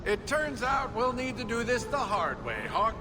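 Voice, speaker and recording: A smug dwarf gang boss from a video game, blunt and threatening. His voice sits high, in a long reverb with clear noise over the capture. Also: sing-song, moderate pace. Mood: smug menace.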